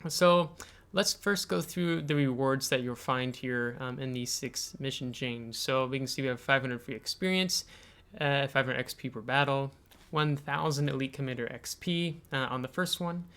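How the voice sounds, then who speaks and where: An American man hosting a video game tutorial, explaining things step by step in a halting way with frequent filler pauses. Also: mid-range voice, fairly bright tone, clean tone. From United States. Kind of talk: explanatory game tutorial, semi-scripted screen walkthrough.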